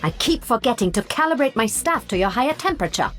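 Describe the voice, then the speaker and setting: An actress playing a dry-witted, scolding combat medic in a video game, wry and teasing. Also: female voice, a little high, sing-song, fast, fairly bright tone, soft background music. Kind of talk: video game character voice acting.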